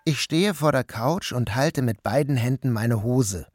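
A man giving calm, measured first-person narration of a literary story. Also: mid-range voice, background noise. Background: music.

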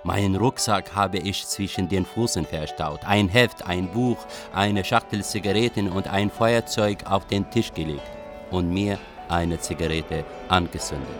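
A man playing a reflective train traveller and writer, narrating in a measured, literary radio drama manner. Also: low-pitched, music in the background. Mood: observant.

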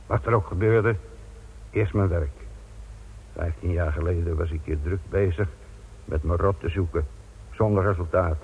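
Husky, low-voiced sardonic police inspector. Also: male voice, moderate pace, pauses often, heavily acted. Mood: bitter. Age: middle-aged. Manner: sardonic, blunt. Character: dogged, sardonic middle-aged male police inspector, embittered by work. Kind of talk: Dutch radio drama acting. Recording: tinny narrow-band radio drama recording.

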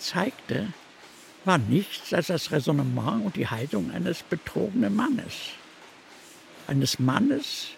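An actor playing a brooding, bitter man telling of a betrayed friend in a literary radio play, with deliberate, drawn-out emphasis. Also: sits high, creaky, slight background noise, exaggerated voice.

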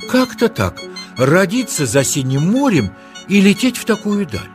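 Male narrator for children's tales: high voice, hugely varied intonation, theatrical and playful, music underneath. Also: sing-song, unhurried. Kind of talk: expressive children's story narration.

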